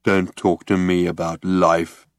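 A miserable male robot drags out every word in a low, hopeless drone, as a man reads him aloud. He sounds slow and pathetic, like a great cracked bell. The voice sits dry and close, with no room around it. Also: flat delivery, no room sound. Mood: gloomy. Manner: slow, pathetic, self-pitying. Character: gloomy depressed male robot, slow and droning. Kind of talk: audiobook character voice.

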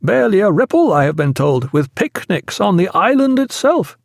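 A man's voice: high-pitched, very animated, normal speed, creaky, no room sound, clean studio sound, voice pushed up, heavily acted. A man playing an eager, optimistic sailor in an audiobook, cheerful and coaxing. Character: eager, optimistic male sailor flattering the doctor.

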